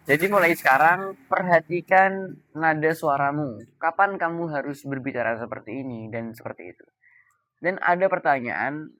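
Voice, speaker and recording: A man's voice: a little high, a bit bright, no echo. Young man explaining, dry close sound. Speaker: voice coach giving advice. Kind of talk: informal explainer talk to camera.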